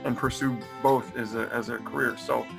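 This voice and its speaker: Young man with a gravelly voice. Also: medium pitch, fairly even intonation, moderate pace, music in the background. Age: young adult. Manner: easygoing, uses fillers like "you know". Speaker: interview host. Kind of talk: casual interview talk.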